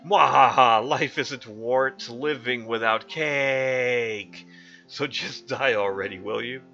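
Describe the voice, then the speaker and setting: A man's voice: medium pitch, slow, pushed and bright, dull audio, soft background music. A man voicing a grandiose, boastful villainous mother who cackles and brags. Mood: gloating. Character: hammy villainous mother, grandiose, cake-hungry.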